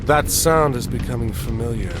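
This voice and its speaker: A man's voice: medium pitch, normal speed, heavy background noise, lightly acted. A man playing a grave, commanding mythological hero in a dramatic video game cutscene. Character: commanding, grave heroic commander from Greek myth.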